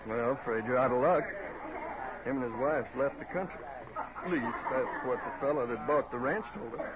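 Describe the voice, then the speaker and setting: A man's voice: mid-range voice, normal speed, heavy background noise, natural voice. A man playing a plainspoken, loyal cowboy foreman in a radio western, with a laconic, folksy rural Western drawl. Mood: puzzled, plainspoken. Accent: rural Western American drawl. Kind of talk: 1950s radio western acting. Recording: muffled old radio, crowd behind.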